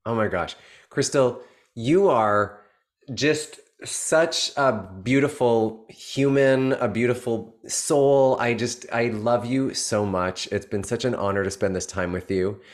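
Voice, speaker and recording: A man's voice: medium pitch, takes it slowly. A man hosting a casual podcast conversation, effusive and gushing with praise. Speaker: host.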